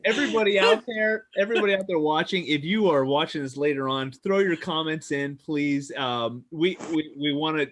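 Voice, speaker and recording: A man hosting a casual livestream chat, excitable and stammering, repeating his exclamations. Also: fairly high pitch, normal speed, hardly any pauses, a little hiss or hum. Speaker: show host.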